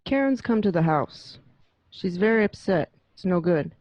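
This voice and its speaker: A man's voice: high-pitched, expressive, normal speed, darkish tone, dry recording. A man playing a controlled older mob boss giving firm orders in a radio play, on a dull, dry call.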